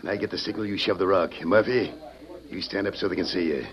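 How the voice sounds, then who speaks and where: An actor playing a cold outlaw boss in an old radio western, curt and domineering. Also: male voice, low voice, quick pace. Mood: commanding. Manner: curt, domineering tough-guy talk. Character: bossy, cold outlaw gang leader. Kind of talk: 1940s radio western acting. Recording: muffled old radio, background din.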